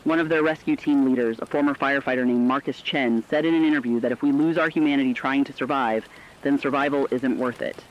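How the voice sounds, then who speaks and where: A man's voice: mid-range voice, fairly even intonation, fast talker, highs cut off, natural voice. A man playing a troubled, earnest co-host in an apocalypse radio drama, speaking solemnly and reflectively. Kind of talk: scripted dramatic radio commentary.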